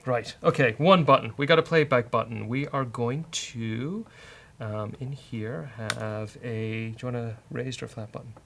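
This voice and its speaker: A man's voice: mid-range voice, measured pace, gravelly, fairly bright tone, a little hiss or hum. A man hosting a casual coding show, bantering with a co-host and thinking aloud as he works.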